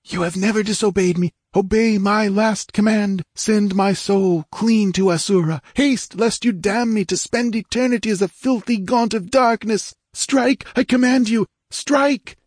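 A man's voice: a little slow, few pauses, a little mellow, breathy edge, no room sound, clean studio sound. A man reading an audiobook, voicing a dying young king whose soul is being dragged away by wizards, pleading and commanding. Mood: urgent commanding. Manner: desperate, pleading, high-pitched strain.

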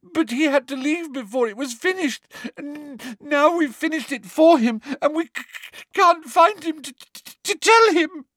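A man's voice: very high-pitched, expressive, a little slow, bright, forceful tone, dry recording, voice pushed up, full character voice. A man doing a stammering, sobbing schoolgirl. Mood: tearful. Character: frightened teenage girl, faking sobs.

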